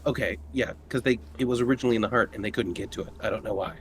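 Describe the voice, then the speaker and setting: A man's voice: fairly low pitch, rapid-fire, a little hiss or hum. A man playing in a tabletop roleplaying game, talking casually at the table as a player.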